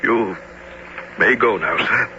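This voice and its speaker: An actor playing an enigmatic, embittered submarine captain with a formal, terse and imperious manner in a radio drama. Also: male voice, fairly low pitch, slow pace. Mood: grave. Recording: noisy, dull old radio drama recording.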